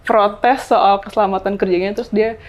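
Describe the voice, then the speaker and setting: A woman's voice: mid-range voice, steady tone, normal speed. A young woman journalist talking in a casual podcast chat, thoughtful, often stopping on fillers as she searches for words. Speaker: journalist guest. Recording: slightly dull, lo-fi sound.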